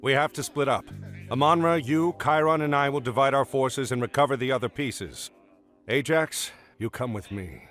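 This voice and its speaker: A man's voice: mid-range voice, moderate pace, fairly bright tone, faint music behind, natural voice. An actor playing a brave, decisive male hero leading his allies, earnest in tone. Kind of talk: game cutscene acting.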